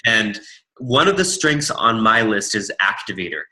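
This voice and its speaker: A man with a big, loud voice, a team leader casually talking through a business training. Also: on the low side, animated, normal speed, poor audio. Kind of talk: casual workshop talk.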